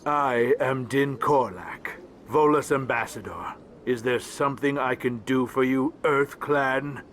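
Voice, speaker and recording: A man's voice: medium pitch, slow, fairly bright tone, a little hiss or hum. A man voicing a small, grumpy, aggrieved alien diplomat in a video game, curt and world-weary. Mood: curt, irritated. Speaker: ambassador. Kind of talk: video game character dialogue.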